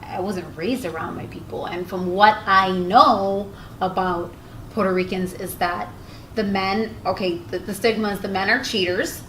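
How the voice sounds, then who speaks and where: Woman raised in Hawaii, bright voice, measured, roomy sound. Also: mid-range voice, fairly even intonation. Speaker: podcast guest, comedy duo member. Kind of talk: casual chat. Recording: echoey room with faint noise.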